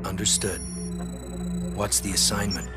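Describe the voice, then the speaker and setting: A man's voice: low voice, fairly even intonation, unhurried, over loud music. An actor playing a stoic, obedient male special agent in a video game, speaking in a terse, businesslike way. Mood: resolute.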